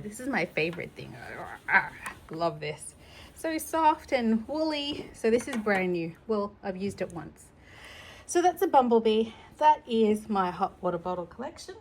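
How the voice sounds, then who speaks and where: Chatty storytime woman, noisy recording. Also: a little high. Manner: chatty, explains things simply to a child. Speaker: storytime host reading children's books. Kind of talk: casual read-aloud with chatty asides.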